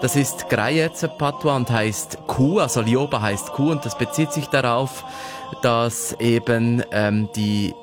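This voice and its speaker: A man's voice: medium pitch, a little slow, music in the background. A man from Fribourg with a Swiss accent, presenting a radio programme in a conversational style. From Fribourg, Switzerland. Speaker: radio host.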